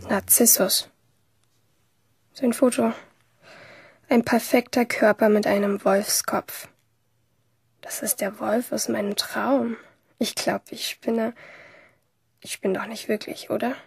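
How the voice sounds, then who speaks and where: A woman's voice: a little high, fairly even intonation, takes it slowly, creaky, long pauses, darkish tone, breathy edge, no room sound, clean studio sound, heavily acted. An actress playing a moody, infatuated teenage schoolgirl, narrating inwardly and intimately in a radio play. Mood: intrigued, flustered. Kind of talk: first-person radio play monologue.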